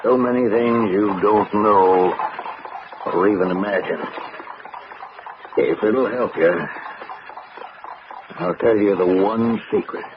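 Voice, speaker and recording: Halting, grieving middle-aged father. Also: lowish voice. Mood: grave, resigned. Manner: halting, measured, paternal. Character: grieving older father, resigned, solicitous, quietly evasive. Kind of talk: old-time radio drama acting. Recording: muffled, noisy old radio recording.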